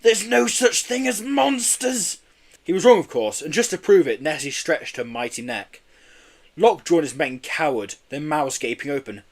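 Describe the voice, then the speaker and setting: A man's voice: mid-range voice, lively intonation, normal speed, darkish tone. A man reading a children's storybook expressively, occasionally stumbling over his words. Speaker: audiobook reader.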